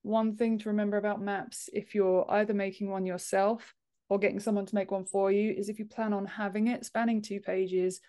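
A woman's voice: mid-range voice, level tone, fairly quick, mellow. Woman chatting as a podcast guest. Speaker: podcast guest, author and book formatter. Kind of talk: casual interview chat. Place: home office room, indoors, on a video call.

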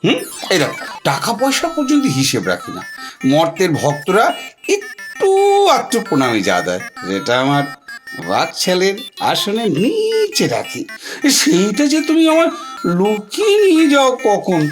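A woman's voice: medium pitch, wildly swooping pitch, unhurried. A woman playing a coaxing, playful old Shiva in an audio drama, repeating words as he wheedles. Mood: indignant, playful. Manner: wheedling, stammering repetitions of words. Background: music.

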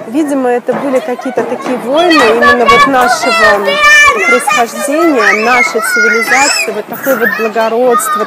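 Woman storyteller, casual and animated, laughs a lot; noisy outdoor crowd in the background. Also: fairly high pitch. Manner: animated, laughing often, many fillers and restarts. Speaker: video blogger.

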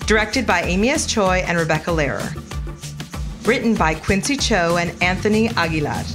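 A woman's voice: on the low side, animated, moderate pace. A woman announcer reading scripted podcast credits. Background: music.

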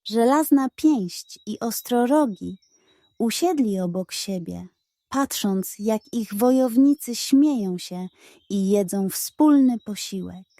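A woman tells a children's bedtime tale at a slow, careful pace. Her voice is mellow and fairly high, recorded very dry and close. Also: expressive, no room sound. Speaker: storyteller. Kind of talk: scripted bedtime story narration for children.

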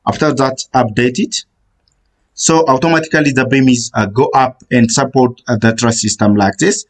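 Halting man giving software steps. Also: medium pitch, fairly even intonation. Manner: halting, frequent "uh" fillers, step-by-step instructions. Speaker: software tutorial instructor. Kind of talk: screen-recorded software tutorial. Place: voice-over on screen recording.

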